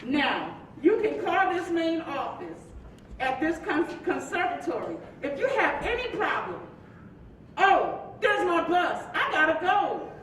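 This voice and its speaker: A sassy, chatty married woman of the 1950s, played by an actress on stage, gossiping with folksy endearments. She speaks high and unhurried, and sounds far off in a very echoey hall. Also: very animated, fairly bright tone, a little hiss or hum, exaggerated voice. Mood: teasing. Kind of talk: live stage reading of a play.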